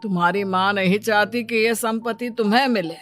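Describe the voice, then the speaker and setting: A woman's voice: mid-range voice, brisk, fairly bright tone, soft background music, natural voice. A woman voicing a kindly, knowing older manservant in a cartoon story, in an avuncular, confiding way. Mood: gently revealing. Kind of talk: Hindi cartoon story dialogue.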